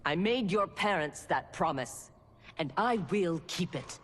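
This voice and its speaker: An actress playing a cold, ambitious female clan armorer who speaks in a calm, calculating way. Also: fairly low pitch, a little slow. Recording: reverberant hall.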